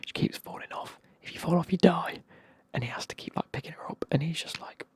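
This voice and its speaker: A British speaker tells a story in a very breathy whisper, with hardly any voiced tone. The delivery is informal and chatty, like a sweary ASMR recap of a book for a friend. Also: mid-range voice, a little fast, darkish tone, no echo.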